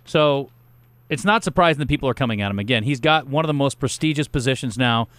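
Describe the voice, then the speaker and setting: A middle-aged male sports radio host with a loud voice and a joking, opinionated way of talking. He talks fast, and his voice sits close and dry on the studio mic. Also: medium pitch, smooth, no room sound. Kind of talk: casual sports radio banter.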